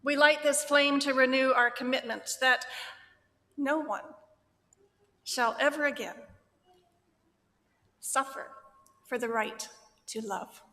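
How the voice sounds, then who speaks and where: Slow, bright-voiced woman reading liturgy. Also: high-pitched, fairly even intonation. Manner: measured, deliberate phrasing. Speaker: chalice lighter. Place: church sanctuary. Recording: long hall reverb.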